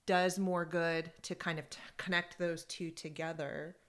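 A woman co-hosting a podcast, talking in a casual, animated way and laughing easily while she tells stories. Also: lowish voice, a little slow. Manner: animated storytelling with frequent laughs. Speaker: podcast co-host. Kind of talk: casual conversation about workplace groups.

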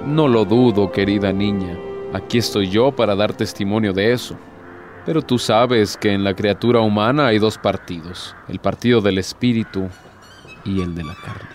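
Guy playing the devil in a radio play, old as time, suave sly tempter, lowish voice, formal and courtly, music behind. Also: normal speed, lightly acted. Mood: sly, seductive. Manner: formal, courtly, insinuating speech. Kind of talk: theatrical radio drama acting.

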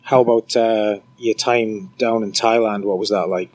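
A man's voice: on the low side, fairly even intonation, moderate pace, darkish tone. A man interviewing a guest in a casual, easygoing chat.

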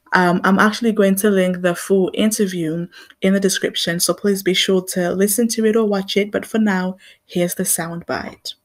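A young adult woman from Zimbabwe hosting her own podcast, talking in an earnest, reflective way. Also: mid-range voice. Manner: earnest and reflective, with small laughs. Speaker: podcast host. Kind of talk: personal commentary monologue.

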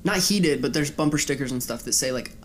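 A man's voice: medium pitch, lively intonation, quick pace. A young man from Colorado telling a teasing story in casual chat with a friend, laughing often. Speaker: podcast co-host.

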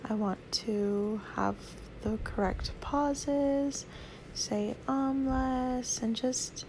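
A young woman hosting her first solo podcast, talking casually in a soothing, soft-spoken, rambling way. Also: sits high, very slow, slight background noise, rough recording. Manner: soft-spoken, rambling, laughs between thoughts. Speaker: podcast host.

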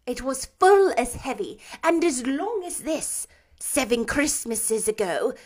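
A woman voicing a mournful male ghost bound in chains, booming and drawn out in a storybook reading. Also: sing-song, exaggerated voice. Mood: wailing, mournful. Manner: loud, drawn-out, lamenting delivery.

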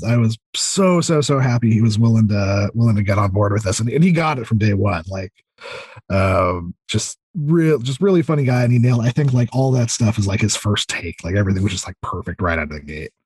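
A man talking as a podcast guest in casual interview chat, enthusiastic, informal and rambling, with a slightly husky voice. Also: on the low side, expressive, fairly quick, a little mellow, no room sound. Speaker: podcast guest, audio drama creator.

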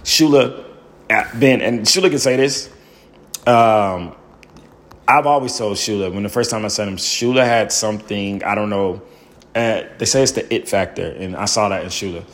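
A young male stand-up comedian with a loud voice, telling his story in an animated interview and acting out the people he quotes. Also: lowish voice, normal speed. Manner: acts out quoted people in bursts.

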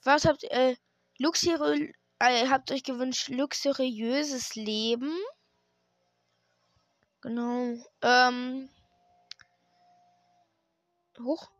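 A hoarse woman hosting a gaming channel, chatting casually and hesitantly while she plays, with lots of fillers and restarts. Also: sits high, steady tone, slow pace, long pauses, dry recording. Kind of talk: casual gameplay commentary. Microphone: desk microphone.

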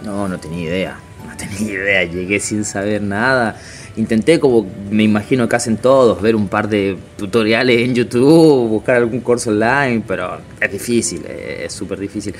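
A man in his thirties from Chile, a circus artist, answering interview questions in a casual, halting way with many fillers and restarts. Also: on the low side, faint music behind. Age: 30s.

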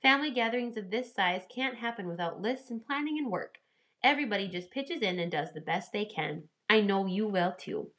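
A wistful old grandmother from a children's storybook, read by a woman. She speaks with folksy affection about family and home, and the voice is bright and clear-edged. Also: medium pitch, expressive, a little fast, lightly acted. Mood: tender.

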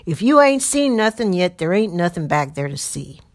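A woman voicing a rough, drunken male slave patroller with a coarse drawl. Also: lowish voice, very animated, moderate pace, no echo, voice pushed up. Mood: shouting.

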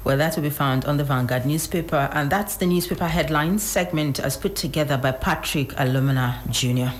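A female Nigerian newscaster reads newspaper headlines. Her voice is notably low for a woman, with slight noise in the background. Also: fairly quick. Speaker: news presenter.

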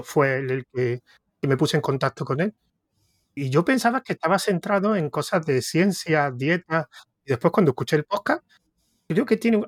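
Un hombre de Córdoba con acento andaluz, anfitrión de un podcast, que entrevista en tono de charla y divaga con muchas dudas y reinicios. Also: male voice, a little high, no room sound, clean studio sound. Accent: Andalusian Spanish. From Córdoba, Spain. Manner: rambling, hesitant, restarts thoughts. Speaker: podcast host interviewer. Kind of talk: casual interview conversation.

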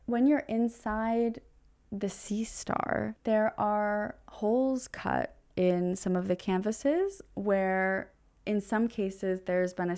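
A woman's voice: medium pitch, a little mellow. A woman gallery representative being interviewed, giving articulate, prepared explanations about art.

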